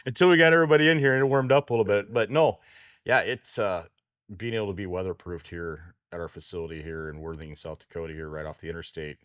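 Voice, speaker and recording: A man's voice: sits low, fast talker, fairly bright tone, no echo, dull audio. A man co-hosting a farm talk show, chatting folksily with repeated words. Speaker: co-host, auction company staff. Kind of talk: casual radio talk.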